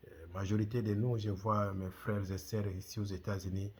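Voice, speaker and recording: A middle-aged Congolese man with a deep, heavily husky voice and a Congolese French accent. He addresses his viewers as a channel host, driving his points home with impassioned, repeated rhetorical questions. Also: fairly even intonation, moderate pace, darkish tone. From Democratic Republic of the Congo. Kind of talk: direct-to-camera commentary.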